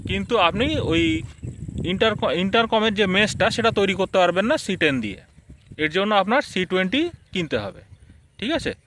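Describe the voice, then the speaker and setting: Male vlogger, high-pitched, conversational review delivery, slight background noise. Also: sing-song, normal speed, fairly bright tone. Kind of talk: casual spoken product review, explaining to viewers.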